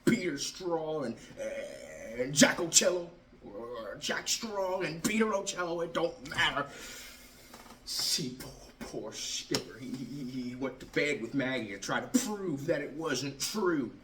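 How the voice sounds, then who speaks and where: A man performing a stage monologue as a bitter, drunken Southern ex-athlete confronting his father, halting and repeating his words. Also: mid-range voice, big pitch swings, fairly bright tone. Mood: anguished, bitter. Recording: roomy, distant mic, faint noise.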